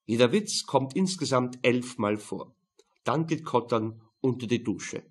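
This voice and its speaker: A man reads a crime novel as an audiobook narrator. His voice is mid-pitched with a rough, husky edge. The delivery is scripted, dry and matter-of-fact. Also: a little slow.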